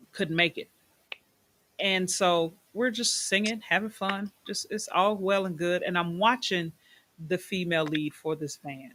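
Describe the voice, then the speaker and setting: A woman's voice: fairly low pitch, background noise. A middle-aged woman hosting an interview in a chatty, enthusiastic way, stretching words for emphasis. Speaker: host. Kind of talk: casual interview chat.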